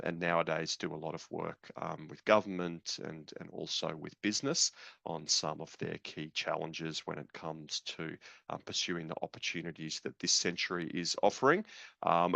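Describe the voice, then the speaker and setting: Low gravelly man hosting a video call. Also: fairly bright tone, no room sound. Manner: halting, many fillers. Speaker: program leader and host.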